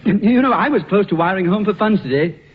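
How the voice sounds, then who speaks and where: An actor playing a polite young English actor, genteel, apologetic and stammering, in 1940s radio comedy style. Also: male voice, high-pitched, expressive, brisk. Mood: charmed, sheepish. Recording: dull, noisy old radio recording.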